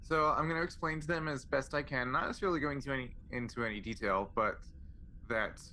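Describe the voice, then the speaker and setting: A man playing a tabletop game, chatting casually and reasoning out his moves aloud with hesitant pauses. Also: mid-range voice, bright, forceful tone, a little hiss or hum.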